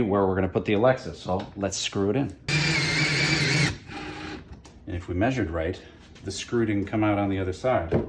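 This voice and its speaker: A man's voice: fairly low pitch, background noise. A man hosting a hands-on project, talking viewers through each step in a casual, folksy, wry way. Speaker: radio morning show host presenting a DIY project. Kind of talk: casual hands-on tutorial narration. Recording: music bed behind the voice. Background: clear background music.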